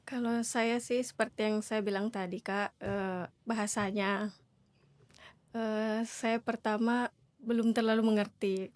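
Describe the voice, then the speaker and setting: A young woman with a South Sulawesi accent, answering interview questions softly and hesitantly, often repeating her words. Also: mid-range voice, fairly even intonation, a little slow. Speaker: new civil servant guest.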